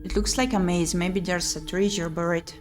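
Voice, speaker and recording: A woman's voice: lowish voice, steady tone, normal speed. A woman doing let's play commentary, reading visual novel text aloud expressively with muttered reactions. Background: game music.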